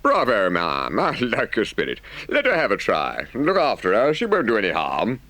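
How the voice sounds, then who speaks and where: A man voicing a genteel, indulgent gentleman motorist in a storybook, brisk and approving in an old-fashioned polite way. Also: on the low side, wildly swooping pitch, dry recording, exaggerated voice. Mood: jovial.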